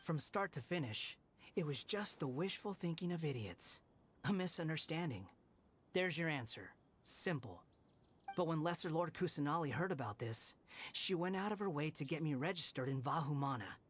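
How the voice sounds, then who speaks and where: A man's voice: on the high side, animated, moderate pace, a little hiss or hum, lightly acted. A man playing an arrogant, irritable young wanderer in an anime game, speaking in a dismissive, sardonic way. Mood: scornful, irritated.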